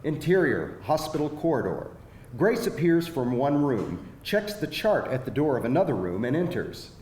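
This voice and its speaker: Expressive male narrator in an echoey hall. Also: on the high side, moderate pace. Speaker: stage-direction narrator. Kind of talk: scripted narration at a live screenplay reading. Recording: echoey hall, faint background noise.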